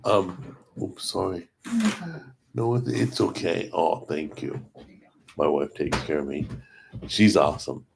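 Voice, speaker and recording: A middle-aged man hosts a live stream in a hoarse, weakened voice. His pitch sits very low, and he talks in a rambling, chatty way, stopping to greet viewers by name. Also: a little mellow. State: hoarse from a paralyzed vocal cord. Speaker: host of a cooking channel livestream. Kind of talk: casual live stream chat.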